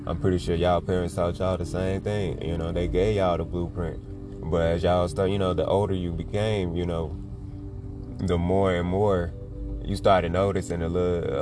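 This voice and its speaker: A man in his thirties with a very low voice rambles through a casual, slang-heavy monologue, leaning on "you know" and the odd curse. He talks from a car while music plays clearly underneath. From Chicago. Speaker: podcast host.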